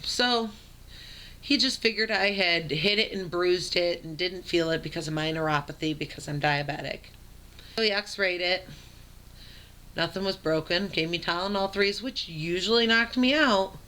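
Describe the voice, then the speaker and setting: A young adult woman with a raspy low voice, telling her own story in a casual vlog, plain and matter-of-fact with many ums and uhs. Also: moderate pace, bright, forceful tone. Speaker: vlogger.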